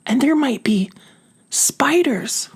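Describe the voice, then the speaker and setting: A woman's voice: high-pitched, animated, slow pace, pitched above the performer's own voice, full character voice. A woman voicing a timid female squirrel in a storybook. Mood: anxious.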